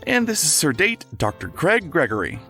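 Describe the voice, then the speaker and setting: An actor playing a polite, slightly flustered husband hosting guests in an audio drama, courteous and eager. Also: male voice, on the high side, wildly swooping pitch, normal speed, sharp tone, faint music behind, exaggerated voice.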